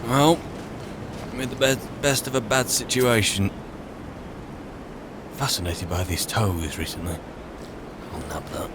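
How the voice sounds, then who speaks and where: A man's voice: on the low side, fairly even intonation, slow, pauses often, background noise. A man playing a wry adventurer, musing aloud to himself and his companions as he roleplays a fantasy video game. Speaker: player roleplaying a game character on a let's play channel. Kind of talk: in-character improvised game narration.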